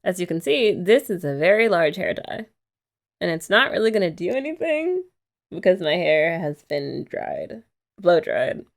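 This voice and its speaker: A woman's voice: fairly low pitch, very animated, unhurried. A young woman chatting playfully and teasingly in a girlfriend roleplay ASMR. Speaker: ASMR creator.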